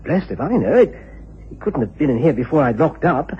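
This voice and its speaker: An actor playing an anxious young upper-class English student in a radio drama, stammering and fussy. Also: male voice, on the high side, very animated, fairly quick, breathy edge, heavily acted. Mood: flustered. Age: young adult. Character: flustered, anxious young aristocratic male college student. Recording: muffled, hissy old radio recording.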